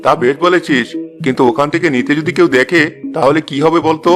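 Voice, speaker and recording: A man voicing a sly, flattering young village thief, eager and conspiratorial, in a fairy-tale cartoon. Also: fairly high pitch, fast talker, music in the background, lightly acted. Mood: scheming.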